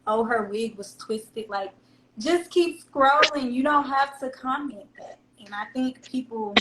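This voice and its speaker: A woman's voice: sits high, measured pace. A woman with a Southern American accent, chatting candidly as an interview guest and laughing often. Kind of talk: casual interview chat. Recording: echoey room with faint hum.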